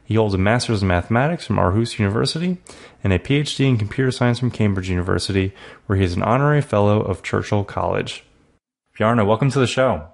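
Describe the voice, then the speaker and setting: A man's voice: on the low side, animated, quick pace, creaky. A man co-hosting a tech podcast, reading a guest introduction and news items with frequent fillers. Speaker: podcast co-host. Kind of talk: reading intro, casual chat.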